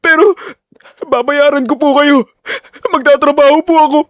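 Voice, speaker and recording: High boyish voice, man acting, poor teenage son, tearful, imploring, desperate, audio drama, dry close sound. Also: fairly even intonation, moderate pace, a bit bright, dry recording. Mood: tearful pleading.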